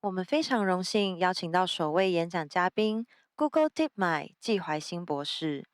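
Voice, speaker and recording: Female emcee, lowish smooth voice, formal announcing, dry sound. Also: lively intonation, normal speed, no echo. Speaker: event emcee. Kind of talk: formal bilingual introduction.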